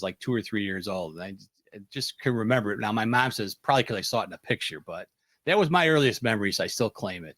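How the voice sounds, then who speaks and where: A man's voice: lowish voice, a bit bright, no echo, studio quality. A man hosting a panel, asking easygoing questions and sharing a short memory. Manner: easygoing, asks questions and gives short affirmations. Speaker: host, moderator. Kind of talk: casual panel chat.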